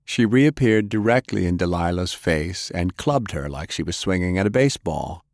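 A man with a deep voice narrates an action tale as a scripted audiobook. The sound is dry, with hardly any room around him. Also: expressive, normal speed, dry recording.

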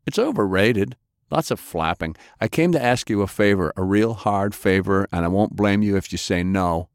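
A man voicing a gruff, frank and protective older male adventurer in an audiobook. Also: on the low side, expressive, normal speed, no room sound, clean studio sound.

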